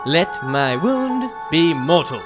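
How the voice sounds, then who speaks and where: A woman playing a courteous, self-assured gentleman detective, composed, deliberate and formal, in an old-time radio drama. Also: sits low, big pitch swings, music in the background, full character voice. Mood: relaxed, explaining.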